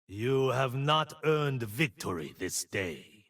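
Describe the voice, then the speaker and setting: An actor playing a stern, disciplined martial monk in a video game, gritty, measured and wise in his solemn delivery. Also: medium pitch, very animated, fairly bright tone, exaggerated voice. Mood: calm resolve. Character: stern, disciplined blind martial monk bearing a dragon spirit. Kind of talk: video game character voice lines.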